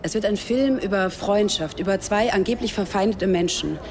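An actress playing a brash, tactless German journalist of about thirty in a radio drama. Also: female voice, mid-range voice, moderate pace, a little mellow, slight background noise, natural voice. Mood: breezy pitching. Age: 30s.

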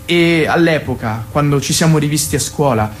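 An actor playing an earnest, gentle man visiting an old love, in a plain-spoken naturalistic radio drama style. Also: fairly high pitch, moderate pace, a little hiss or hum, natural voice. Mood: tender, insistent.

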